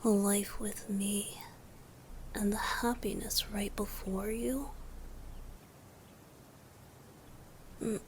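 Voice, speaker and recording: A woman voicing a playful, tender young male bard god in a romantic ASMR roleplay, soft and breathy, often whispering and gently teasing. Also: medium pitch, flat delivery, slow pace, slight background noise, lightly acted. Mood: tender, hurt.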